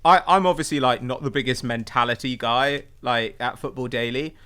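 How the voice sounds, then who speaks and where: Bright-voiced man hosting football chat. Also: medium pitch, normal speed, clean tone. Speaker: football podcast host.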